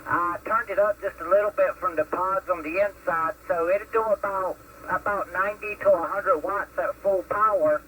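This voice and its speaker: A high-voiced man comes through a CB radio speaker, distant and with clear noise around him. He chats in a friendly, courteous way and uses casual operator jargon. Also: steady tone, moderate pace, bright, forceful tone. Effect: CB radio transmission.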